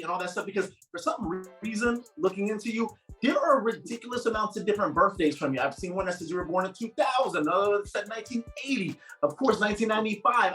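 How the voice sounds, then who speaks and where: A man's voice: sits high, pushed and bright. A man interviewing a guest in a casual, rambling way, full of fillers and eager asides. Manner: rambling, filler-heavy, enthusiastic. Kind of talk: casual interview over a call. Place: home in northern New Jersey.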